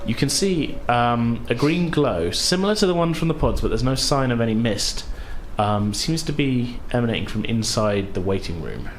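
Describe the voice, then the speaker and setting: A man's voice: medium pitch, lively intonation, normal speed, hardly any pauses, a little hiss or hum. Casual man running a tabletop game. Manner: casual, hesitant with frequent ums. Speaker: game master.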